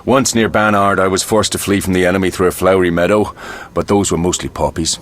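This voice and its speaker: Man acting a low-voiced, wry veteran mercenary. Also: steady tone, fast talker, slight background noise, natural voice. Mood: wry, weary. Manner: clipped phrases, wry, weary. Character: world-weary male mercenary quartermaster, wry veteran soldier. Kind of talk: video game dialogue acting.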